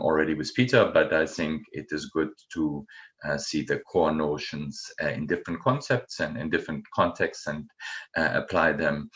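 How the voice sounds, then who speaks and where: A middle-aged man from Germany with a German accent, giving a university lecture in an unhurried way with many ums and uhs. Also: very deep voice, fairly bright tone, highs cut off. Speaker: guest lecturer.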